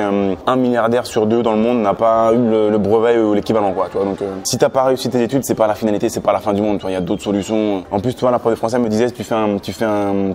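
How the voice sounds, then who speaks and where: A man in his thirties from the Paris suburbs, telling his life story in a relaxed, slangy interview full of fillers, seated in a lounge. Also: lowish voice. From France, Paris suburbs. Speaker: guest entrepreneur. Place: hotel lounge indoors, seated on sofa.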